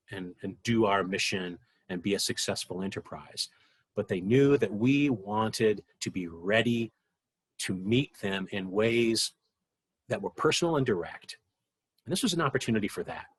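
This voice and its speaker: A man giving a reflective documentary interview, speaking earnestly and deliberately and repeating words for emphasis. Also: lowish voice, fairly bright tone, slightly rough.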